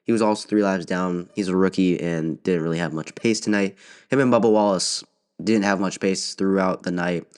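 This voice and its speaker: A man's voice: low voice, fairly even intonation, smooth. Sniffly young man recapping races. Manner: casual, halting, frequent fillers and restarts. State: sick with a cold, sniffling. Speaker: podcast host. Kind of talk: solo race recap.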